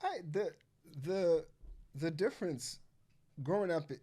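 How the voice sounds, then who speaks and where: A man's voice: high-pitched, lively intonation, slow pace, dark tone. Loose, swearing Nigerian-born man with American accent. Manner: loose and profane, heavy on filler phrases. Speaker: podcast guest. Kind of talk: casual conversation.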